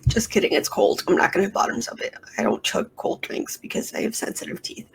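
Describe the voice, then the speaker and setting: A woman's voice: mid-range voice, lively intonation, brisk, a little hiss or hum. A woman hosting a casual solo podcast from her bedroom, rambling with lots of fillers and restarts into a sensitive microphone. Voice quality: raspy. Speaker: podcast host. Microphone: sensitive studio microphone.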